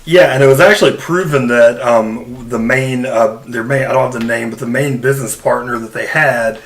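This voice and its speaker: A man's voice: medium pitch, normal speed, a little hiss or hum, low-quality recording. A man co-hosting a podcast in a casual, chatty style. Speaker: podcast co-host. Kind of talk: casual chat.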